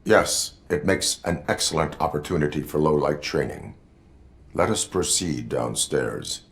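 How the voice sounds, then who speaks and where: Deep, deadpan cyborg police officer. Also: male voice, full character voice. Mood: stern, instructive. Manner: formal, measured, deadpan. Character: stern, by-the-book cyborg police officer, male, instructing a rookie. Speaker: police field training officer. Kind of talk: video game voice acting.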